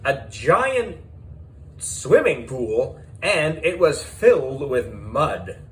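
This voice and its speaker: Male children's audiobook reader: excitable, lively swings in pitch, slow measured pace. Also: low-quality recording. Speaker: audiobook narrator. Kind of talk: animated children's story narration.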